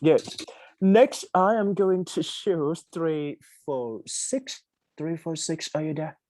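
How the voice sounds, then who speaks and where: Very low-voiced woman teacher. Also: dry recording, low-quality recording. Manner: brisk, steady murmured acknowledgments. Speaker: English teacher running mock job interviews. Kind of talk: online class mock interview. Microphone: video call.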